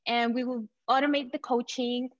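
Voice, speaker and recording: Confident woman pitching over dull video-call audio. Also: level tone, moderate pace, no echo. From Venezuela. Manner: confident, enthusiastic, promotional. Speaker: company founder pitching to investors. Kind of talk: startup pitch presentation.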